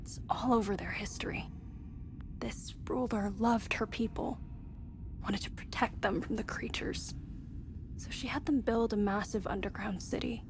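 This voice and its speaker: An actress playing a chatty, wry military research scientist in a video game, explaining things in a measured, conversational way. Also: female voice, mid-range voice, creaky, lightly acted. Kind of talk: scripted game dialogue, conversational exposition.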